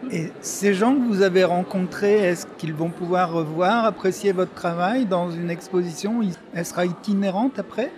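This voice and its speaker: A man's voice: sits high, moderate pace, few pauses, noisy background. A male interviewer asking short, guiding questions.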